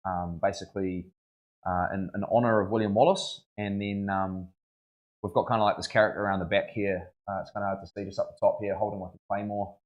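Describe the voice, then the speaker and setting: A young man, chatting casually on a live stream while tattooing, slangy and relaxed with many fillers. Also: lowish voice, a little fast, muffled recording. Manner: laid-back, slangy, lots of "bro" and fillers.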